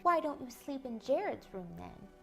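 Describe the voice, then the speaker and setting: A gentle alien woman voiced by a woman who lives in a human body and always seems on edge. She speaks in an anxious, earnest way. Faint music plays softly behind her. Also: sits high, big pitch swings, moderate pace, gravelly, pitched above the performer's own voice, heavily acted. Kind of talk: reading a novel aloud.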